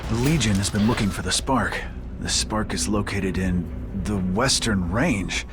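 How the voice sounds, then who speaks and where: An actor voicing a gruff, stoic and defiant rabbit fighter in a video game, terse and sardonic. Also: male voice, lowish voice, measured pace, over music, close to an everyday voice. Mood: serious.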